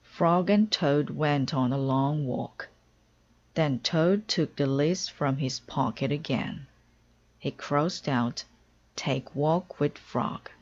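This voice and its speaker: A woman's voice: sits low, slow, darkish tone, muffled recording, a little hiss or hum. A woman narrating a children's storybook aloud in a careful, simple storytelling style. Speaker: storybook reader.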